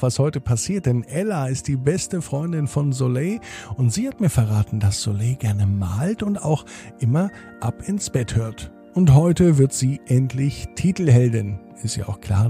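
Guy reading a kids bedtime story, slow and gentle, gravelly dark voice, dry close mic. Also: mid-range voice. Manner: calm, gentle, soothing storytelling. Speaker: podcast host and storyteller.

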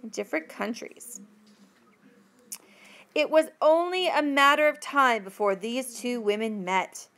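A woman reading a textbook passage aloud as a teacher would, with careful, deliberate phrasing. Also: on the high side, fairly bright tone.

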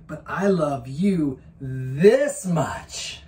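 A calm, tender grown-up hare in a bedtime picture book, as the reader puts him on. He speaks slowly and steadily, gentle rather than loud. The voice sits a little back from the microphone. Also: medium pitch. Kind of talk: bedtime storybook reading. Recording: voice somewhat distant from the mic.

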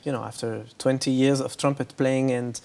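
Man, 30s, hesitant, lots of restarts, lapel mic, bright room. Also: mid-range voice, fairly even intonation, normal speed, creaky. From Lebanon. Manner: hesitant, many fillers and restarts. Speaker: musician guest. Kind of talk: casual interview answers. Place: bright room with big windows, indoors.

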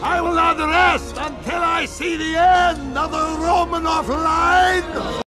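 An actor playing a vengeful mad monk sorcerer in an animated film, with a theatrical, drawn-out, menacing delivery. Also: male voice, extremely high pitch, raised voice, over music, a crowd in the background. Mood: furious vow. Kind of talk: animated film villain acting.

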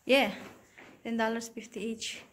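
Middle-aged woman, high-ish voice, taking it slow, chatty cave tour on her phone. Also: level tone, fairly bright tone. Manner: slow, chatty, pointing things out to viewers. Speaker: travel vlogger. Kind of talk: casual tour narration. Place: inside a show cave. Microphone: handheld phone camera.

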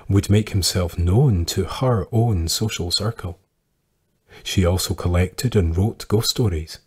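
A man with a low, husky voice narrates a scripted tale. He speaks slowly and deliberately, setting phrases apart with dramatic pauses. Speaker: podcast writer and narrator.

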